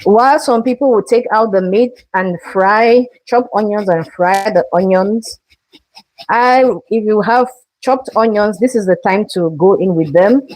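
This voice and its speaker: Slow, chatty woman hosting a cooking stream. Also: medium pitch, a little mellow, poor audio. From Cameroon. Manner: chatty, talks through each step, greets viewers. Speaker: cooking show host.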